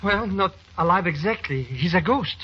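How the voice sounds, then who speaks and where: A man playing a whiny, jittery scheming sidekick in an old radio drama, pleading excitably in rough grammar. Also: high-pitched, moderate pace, exaggerated voice. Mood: rattled. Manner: pleading, excitable, ungrammatical talk. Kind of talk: 1940s radio acting. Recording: muffled, faintly noisy old radio recording.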